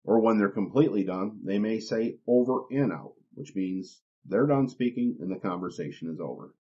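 A man hosting an instructional podcast, explaining things in a plain, deliberate, step-by-step way. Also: lowish voice, animated, dark tone, breathy edge. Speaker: podcast host. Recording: dull, lo-fi podcast recording.

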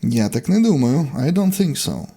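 Guy teaching english, kinda husky and mellow, short phrases one at a time, pitch moves around a lot. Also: very animated, normal speed. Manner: instructional, short separate sentences. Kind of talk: language lesson, reading example sentences in Ukrainian and English.

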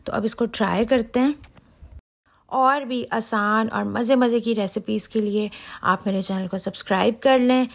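A woman's voice: a little high, steady tone, moderate pace, vocal fry. A middle-aged woman hosts a casual home cooking voice-over, chatting directly to viewers. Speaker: home cooking channel host. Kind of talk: casual recipe voice-over tutorial.

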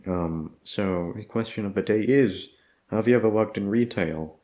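A man's voice: lowish voice, normal speed, mellow. A young man chatting casually off the cuff to listeners, rambling and hesitant, with some swearing. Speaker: audio roleplay creator. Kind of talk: casual unscripted chat.

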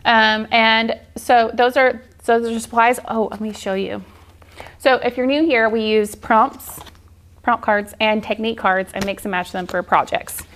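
A woman's voice: fairly high pitch, steady tone, unhurried, a bit bright. A woman in her forties giving an informal, encouraging art journaling tutorial in a casual style. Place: indoor art studio, seated at work table.

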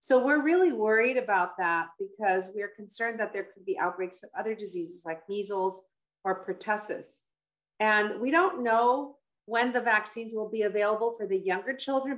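A woman physician in middle age speaks at a medium pitch as she walks through an informative presentation. Her delivery is explanatory and conversational, as a panelist would talk on an online town hall video call. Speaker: pediatric infectious disease physician, panelist.

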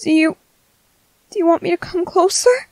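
A woman playing a gentle, worried young merboy in an ASMR roleplay, speaking softly and hesitantly to comfort someone. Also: very high-pitched, moderate pace, no room sound. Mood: tender, soothing. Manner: soft, hesitant, soothing.

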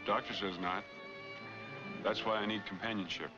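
Guy acting brooding married man in a 40s noir, deep voice, muffled old film track. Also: steady tone, normal speed, close to an everyday voice. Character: brooding, self-pitying married man seeking companionship. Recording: dull 1940s film soundtrack, music behind.

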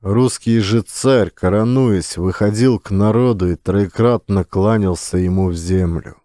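A man reading a first-person audiobook in a measured, deliberate way. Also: lowish voice, no room sound, studio quality. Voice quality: measured, deliberate, lively intonation. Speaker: audiobook narrator.

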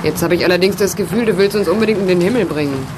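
A woman's voice: fairly low pitch, very fast, background noise, natural voice. An actress playing a teasing, cheeky young woman in a German radio play. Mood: alarmed, reproachful. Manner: teasing, playful, pert. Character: teasing, cheeky young female companion of a reporter.

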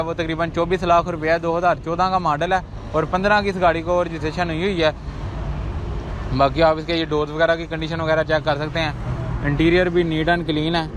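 Pakistani male vlogger, slightly high voice, repetitive sales patter, camera mic in a noisy open-air car lot. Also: fairly bright tone. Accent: Pakistani Urdu. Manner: brisk, repetitive salesman patter listing prices and features. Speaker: used car market presenter. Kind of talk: walk-around car market vlog. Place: outdoor used car market, daytime. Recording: noisy outdoor street recording.

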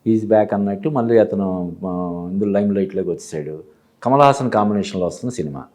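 A man giving explanatory commentary as an interview guest, halting and repeating phrases as he goes. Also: lowish voice, a little mellow. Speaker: film industry analyst, studio guest. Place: TV studio.

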